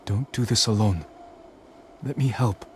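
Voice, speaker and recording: A man playing a calm, principled samurai lord in a game drama, speaking with restrained, earnest concern. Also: fairly low pitch, a little slow, lightly acted. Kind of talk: dramatic video game cutscene acting. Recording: clear background ambience noise.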